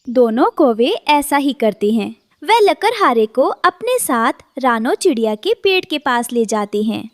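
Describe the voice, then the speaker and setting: A woman's voice: high voice, very animated, measured pace, few pauses, no echo, clean studio sound. A woman narrating a scripted children's cartoon story.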